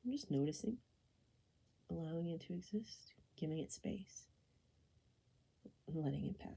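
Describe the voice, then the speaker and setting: A woman guiding a body scan meditation, speaking softly and gently in an unhurried, soothing way, often slipping toward a whisper. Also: lowish voice, expressive. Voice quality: soft, breathy, often falling into a whisper. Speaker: meditation guide.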